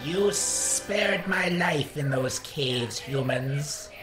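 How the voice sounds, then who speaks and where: Alien slaver warlord, menacing, grandiose, very drawn-out delivery, loud music behind, radio play. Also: female voice, low voice. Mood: cold, imperious. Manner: slow, commanding, theatrical declaiming. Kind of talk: science fiction radio play acting.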